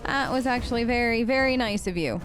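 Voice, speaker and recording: A woman doing chatty, playfully expressive role-play commentary on a video game. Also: on the high side, a little fast, poor audio. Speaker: let's play streamer. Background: faint game music.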